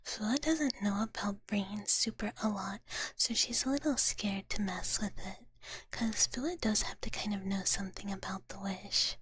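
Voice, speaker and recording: A woman livestreaming ASMR in a breathy whisper, chatting gently and playfully with her viewers, close to the mic. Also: mid-range voice, unhurried, poor audio. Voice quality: breathy, mostly unvoiced whisper. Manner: gentle, playful, speaks of herself in third person. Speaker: livestream ASMR host in a virtual dragon big-sister persona.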